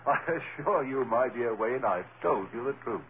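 Haunted male lead male actor, 1940s-style radio horror, intense and halting. Narrow, lightly noisy vintage broadcast sound. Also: mid-range voice, natural voice. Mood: amused, assured. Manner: theatrical, intense, halting narration swelling into anguish. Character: haunted, dread-filled man named Darrell recounting his first nightmare. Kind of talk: old-time radio horror acting. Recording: old radio drama, narrow band, noisy.

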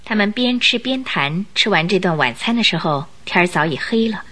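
A woman narrating a radio drama, telling the story in an expressive, suspenseful scripted style. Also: mid-range voice, moderate pace.

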